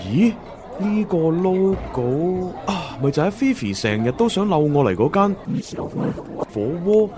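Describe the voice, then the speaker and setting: A man's voice: high voice, big pitch swings, measured pace, crowd noise. A man with a Hong Kong Cantonese accent playing a chatty, eager time traveller who hesitates as he covers his slips, in a radio drama. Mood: surprised, chatty.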